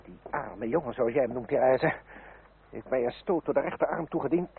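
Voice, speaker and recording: A man's voice: mid-range voice, fairly quick, creaky, close to an everyday voice. An actor playing an irritable, imperious French nobleman in a radio play. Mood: irritated. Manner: imperious, indignant. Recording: tinny, crackly, noisy old radio recording.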